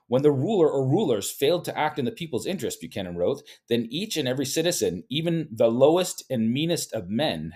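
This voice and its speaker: A man's voice: medium pitch, darkish tone, slightly rough. Man reading aloud with casual asides. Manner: reads steadily, stumbles and repeats words, breaks off into chatty asides. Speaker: host reading from a book and commenting.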